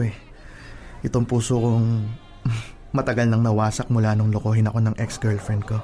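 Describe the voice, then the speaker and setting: Man acting a mellow, lovesick plumber suitor. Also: mid-range voice, close to an everyday voice. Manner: halting, pleading, sentimental. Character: lovesick, earnest plumber suitor, wounded yet patient. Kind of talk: radio-drama style acting.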